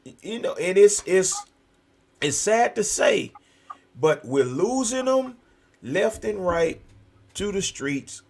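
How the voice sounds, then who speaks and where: An American man with a fairly high voice hosts a talk show. He talks conversationally with a preaching streak, leaning hard on repeated phrases to make his point. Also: very animated, slow pace, long pauses. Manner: emphatic, repetitive. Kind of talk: animated conversational preaching and chat. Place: virtual video show.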